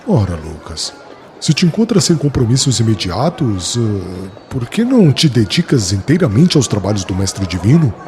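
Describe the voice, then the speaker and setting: Male actor as fervent apostle, emphatic and oratorical, lively swinging intonation, audiobook drama, light music. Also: fairly low pitch, normal speed. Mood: persuasive.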